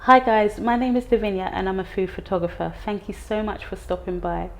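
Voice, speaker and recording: A woman's voice: mid-range voice, fairly quick, faint music behind. An upbeat English woman hosting a food story interview, laughing often and speaking over a video call. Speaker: host and food photographer.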